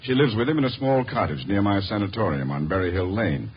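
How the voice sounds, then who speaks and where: A man acting a smug, self-possessed doctor in a 1950s radio drama, speaking formally with smooth condescension. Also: lowish voice, lively intonation, fast. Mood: courteous, formal. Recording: muffled, noisy old radio recording.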